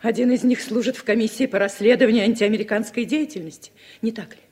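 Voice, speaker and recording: An actress playing an anxious, insistent wife in a 1960s Soviet radio drama, earnest and pressing in manner. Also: female voice, fairly high pitch, fast talker, a little mellow, husky. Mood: wary, probing. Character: anxious, insistent woman confronting her husband.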